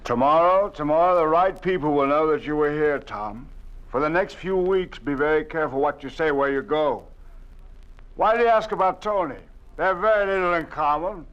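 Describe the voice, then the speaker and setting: A man's voice: a little high, normal speed, close to an everyday voice. An actor playing an earnest, worried middle-aged father in a 1950s radio drama. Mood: warning. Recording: muffled old radio transcription with faint hum.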